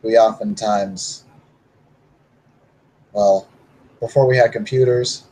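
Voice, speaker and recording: A man playing a low-voiced, musing werewolf. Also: steady tone, mellow, poor audio. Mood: explaining. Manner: measured, muses with hums and pauses. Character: male werewolf warrior, measured and reasoning. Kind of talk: tabletop roleplay in character.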